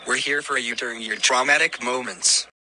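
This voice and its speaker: Man as earnest hero, very bright forceful voice. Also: medium pitch, moderate pace, a little hiss or hum. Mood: earnest, soothing. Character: earnest, determined young man trying to redeem a demon. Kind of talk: amateur audio drama acting.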